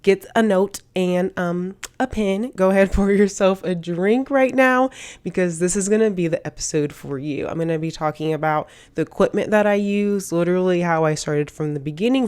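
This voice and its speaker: A young woman hosts her solo podcast in a bubbly, gushing way, tossing out hype-girl slang to her listeners. Her voice sits a bit low for a woman and sounds slightly stuffed up from a cold. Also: a little mellow, clear, resonant tone. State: congested from a cold. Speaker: podcast host. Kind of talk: upbeat solo podcast monologue.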